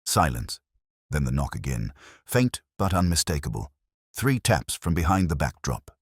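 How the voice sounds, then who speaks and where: A man narrating a dramatic mystery story in a measured, solemn manner with short, deliberate phrases. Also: very deep voice, sing-song, slightly rough, dry recording, clean studio sound.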